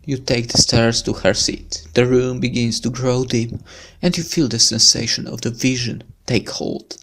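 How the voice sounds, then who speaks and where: A man's voice: medium pitch, steady tone, unhurried, fairly bright tone. A man doing a let's play, reading a visual novel's story aloud dramatically with casual asides. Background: game music.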